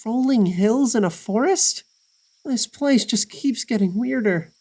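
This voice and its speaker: A woman playing a grumpy, guarded, sarcastic young traveler in an audio drama, deadpan and curt. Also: fairly high pitch, a little slow. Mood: exasperated.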